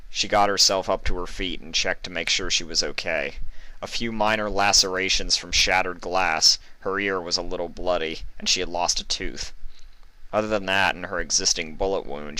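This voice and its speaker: A deep-voiced man narrates a written story. His tone has a bright, forceful edge, and the sound is very dry, with no room on it. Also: no room sound, rough recording. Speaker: fan fiction reader.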